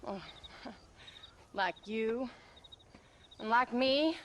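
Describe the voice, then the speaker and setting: A woman's voice: fairly high pitch, drawn-out words, a bit bright, slight background noise. An actress playing a feisty, embittered frontier wife and mother in a video game, blunt and sardonic, with a rural American Western accent. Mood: bitter, subdued. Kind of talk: dramatic video game acting.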